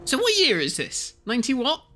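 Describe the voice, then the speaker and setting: A man's voice: very high-pitched, wildly swooping pitch, brisk, pushed and bright, a little hiss or hum. A man co-hosting a casual film commentary, reacting in a giggly, mocking way.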